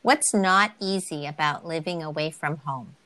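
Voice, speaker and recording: A woman interviewing a student, speaking calmly in a conversational tone and sharing memories from her own youth. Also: low voice, moderate pace. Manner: calm, conversational, telling a personal story. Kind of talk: casual conversation.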